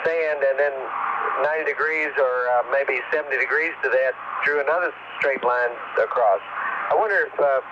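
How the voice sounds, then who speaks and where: A man speaking as a shuttle commander over a radio link, in a halting delivery with many pauses. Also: medium pitch. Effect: radio transmission. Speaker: space shuttle astronaut, mission commander. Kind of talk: air-to-ground radio chatter. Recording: muffled, noisy spacecraft radio link.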